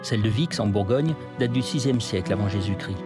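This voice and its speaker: A man narrating a historical documentary from a script, in a measured storytelling manner. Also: lowish voice. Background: music.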